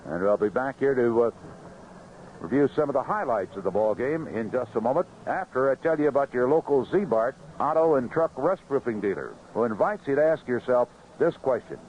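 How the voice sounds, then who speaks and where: American male sports announcer for vintage radio scoreboard reads: conversational, mid-pitched, muffled broadcast with faint noise. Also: expressive, brisk, a little mellow. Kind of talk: 1970s radio scoreboard report and sponsor reads. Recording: dull, faintly noisy 1970s AM radio.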